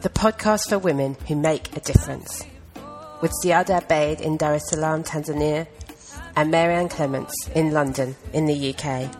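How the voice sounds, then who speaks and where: A woman with a deep, low voice reads a scripted podcast introduction. Her delivery is even, in the manner of an announcer. A little music plays faintly behind her. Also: moderate pace. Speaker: show announcer.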